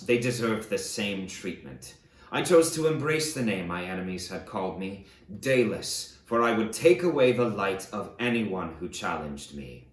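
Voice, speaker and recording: Male reader as a defiant conqueror-king, low voice, declamatory, self-justifying storybook memoir, echoey room. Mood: defiant, grim. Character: proud, defiant conqueror ruler recounting his rise. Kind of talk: dramatic first-person audiobook narration. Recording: roomy, echoey sound.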